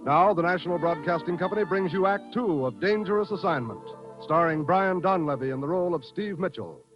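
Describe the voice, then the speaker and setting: A man's voice: sits high, a little fast, loud music behind the voice. An American man announcing in a formal, polished, emphatic 1940s radio style. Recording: dull old radio broadcast recording.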